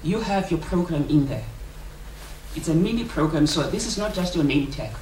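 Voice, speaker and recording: A woman's voice: low-pitched, lively intonation, normal speed, darkish tone. A South African woman with South Sotho as her mother tongue, making informal, jokey announcements to colleagues as a conference organiser. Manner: jokey, colloquial, addressing colleagues. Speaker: conference organiser giving welcome and housekeeping announcements. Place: indoor conference venue, speaking to delegates. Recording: roomy, distant microphone, clear background noise.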